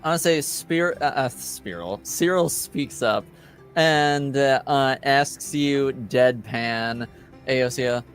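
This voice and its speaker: A man casually narrating his character in a tabletop roleplaying game, with deadpan dialogue. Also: medium pitch, slow, faint music behind.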